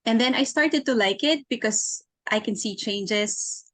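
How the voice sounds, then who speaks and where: A woman's voice: a little high, unhurried, fairly bright tone, no echo. Friendly Filipino woman guest on a call. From Philippines. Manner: friendly, giggly, hesitant with fillers. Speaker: guest, bikini athlete. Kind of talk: casual interview answers. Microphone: video call.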